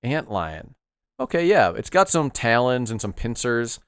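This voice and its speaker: A man's voice: mid-range voice, sing-song, unhurried, fairly bright tone, clear, resonant tone, no room sound, clean studio sound. A man hosting a podcast, chatting casually about retro games in an upbeat, agreeable way and asking his co-host questions.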